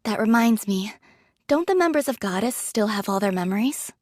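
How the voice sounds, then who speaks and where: A woman's voice: mid-range voice, animated, moderate pace, darkish tone, no room sound, studio quality, close to an everyday voice. An actress playing a modest, pragmatic young female android soldier in an anime game, talking in a casual, thoughtful, matter-of-fact way.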